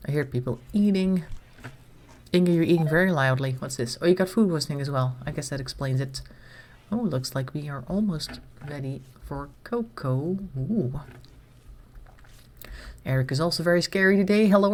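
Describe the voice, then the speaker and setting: A woman's voice: deep voice, darkish tone, a little hiss or hum. A woman streamer, slightly congested from a cold, chatting casually to herself and her viewers as she plays a game. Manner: chatty, talks to herself while managing the colony.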